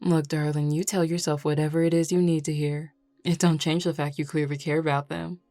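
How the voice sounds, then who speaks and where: A woman playing a flirty, teasing male werewolf with a Southern US accent and a playful, unhurried drawl in an audio roleplay. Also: low-pitched, little pitch movement, dark tone, dry recording.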